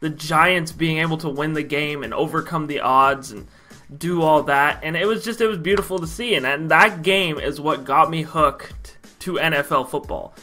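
Bright-voiced young American man telling stories. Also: fairly high pitch, normal speed, soft background music. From Idaho, United States. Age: young adult. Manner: chatty, addresses viewers like a showman. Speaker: vlogger telling personal stories. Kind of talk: casual storytelling to camera. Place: small room at home.

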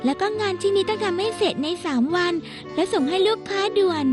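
A sweet, very high seamstress. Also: female voice, fast talker. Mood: earnest. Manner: polite, soft and earnest. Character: sweet young seamstress shop girl, earnest. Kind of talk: Thai dub of a Japanese cartoon. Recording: music bed behind dialogue.

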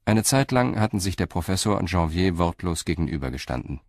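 A man narrating a scripted German crime story in a sober, measured manner. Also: deep voice, sing-song, darkish tone, dry recording, studio quality.

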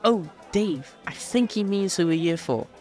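A cheeky young chimney sweep. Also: male voice, sits high, expressive, measured pace, a little mellow, soft background music, a faint crowd. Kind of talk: audio drama acting.

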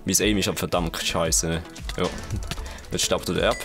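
A young man with a Swiss German accent talks through a round of a game as he plays it. His voice is low, and his delivery is casual and excitable, full of quick reactions and fillers. Faint music plays beneath him. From Switzerland. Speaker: gaming channel host. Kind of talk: live game commentary.

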